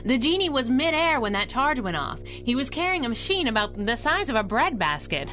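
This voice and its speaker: A woman's voice: sits high, big pitch swings, fairly quick, bright, forceful tone, soft background music, exaggerated voice. A woman playing a brash, sassy masked crime-fighting sidekick in a 1930s-style radio drama, with slangy delivery. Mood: wry.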